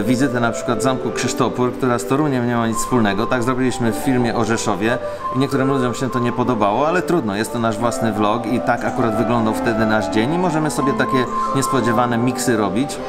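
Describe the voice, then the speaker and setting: Guy doing a casual vlog, thinks out loud, kinda hesitant, mid-pitched voice, music really loud behind him. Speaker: vlogger. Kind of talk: casual vlog talk to viewers. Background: guitar music.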